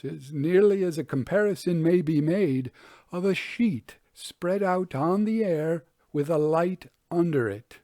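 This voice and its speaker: A man voicing an earnest, unsettled Victorian gentleman giving a formal, solemn account in a storybook narration. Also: a little high, no echo, clean studio sound, pitched above the performer's own voice.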